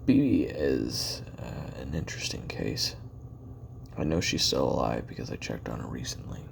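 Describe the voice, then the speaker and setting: Very deep, gravelly man acting as weary ex-assassin. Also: lively intonation, a little hiss or hum, full character voice. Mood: musing, guarded. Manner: halting, musing, trailing pauses and self-corrections. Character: weary, regretful male ex-assassin taping his memoirs. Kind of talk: audio roleplay monologue, confessional and conversational.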